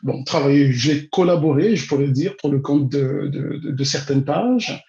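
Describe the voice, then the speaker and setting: A man, answering interview questions in a measured, hesitant way with drawn-out pauses. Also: a little high, creaky, mellow. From Congo-Brazzaville. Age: 40s. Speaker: interview guest, immigration consultant and teacher. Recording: dull, roomy, echoing sound.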